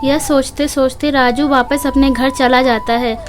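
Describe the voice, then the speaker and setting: Woman narrator, high voice, flat intonation, scripted children's storytelling, background music. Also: a little slow. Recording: music behind the voice.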